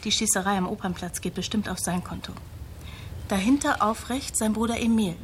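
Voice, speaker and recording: An actress playing a sober, knowing investigative journalist in a radio drama, direct and matter-of-fact. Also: female voice, medium pitch, brisk, slight background noise, natural voice. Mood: factual, serious. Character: sober, knowing female investigative journalist, loyal old friend.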